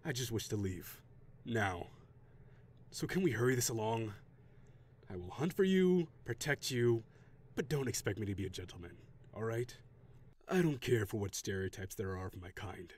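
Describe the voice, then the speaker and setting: A man voicing a proud, gruff tsundere dragon warrior in an audio roleplay, brusque and defensive with grudging sighs. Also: fairly low pitch, very animated, moderate pace, long pauses, darkish tone. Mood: grave, gruff.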